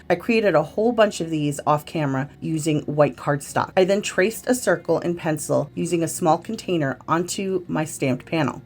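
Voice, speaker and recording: A woman with a slightly congested voice from a cold, narrating a craft tutorial in a gentle, friendly, step-by-step way. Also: low voice. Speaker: craft video host. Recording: faint music behind the voice.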